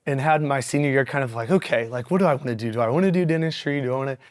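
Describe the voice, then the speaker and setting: A young man talks in a relaxed, upbeat way, his voice hoarse and raspy from a weekend of yelling. He keeps the tone casual and full of little fillers, in a middle register. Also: mid-range voice, rapid-fire. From Missouri Ozarks. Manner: upbeat, animated, many fillers. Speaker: dentist guest. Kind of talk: casual interview.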